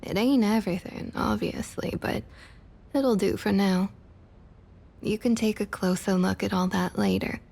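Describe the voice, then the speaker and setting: A woman playing a smug, opportunistic vampire council member in an ASMR roleplay, with a soft breathy Southern US accent and coaxing, condescending sweet talk. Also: mid-range voice, a little slow. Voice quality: soft, breathy, slightly husky. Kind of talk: ASMR roleplay, intimate scripted monologue.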